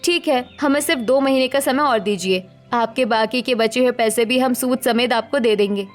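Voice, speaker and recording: A woman's voice: a little high, moderate pace, soft background music, natural voice. A woman voicing an educated young village daughter-in-law in a cartoon story, firm and defiant. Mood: warning. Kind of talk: Hindi moral-story cartoon voice acting.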